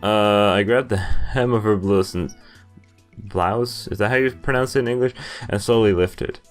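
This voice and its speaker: Low-voiced young man over game music. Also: moderate pace. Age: young adult. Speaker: video game player and commentator. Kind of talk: playthrough commentary, reading game text aloud.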